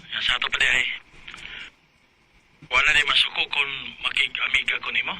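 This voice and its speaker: A man's voice: mid-range voice, flat delivery, moderate pace, sharp tone, husky, rough recording. A man with a Cebuano Filipino accent acting an earnest, persistent suitor and old schoolmate in an audio drama. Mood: friendly, probing. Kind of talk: radio drama dialogue.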